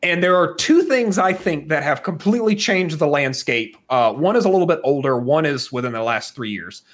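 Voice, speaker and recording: Higher-pitched man chatting casually on a podcast. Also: expressive, normal speed. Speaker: podcast co-host. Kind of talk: casual discussion of films and games.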